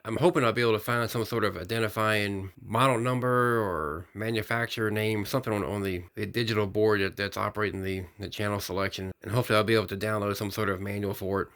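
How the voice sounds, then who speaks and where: Fast-talking man thinking aloud. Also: fairly low pitch, fairly even intonation, a bit bright. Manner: rambling, technical, thinking aloud. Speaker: radio hobbyist video host. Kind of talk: unscripted product demonstration.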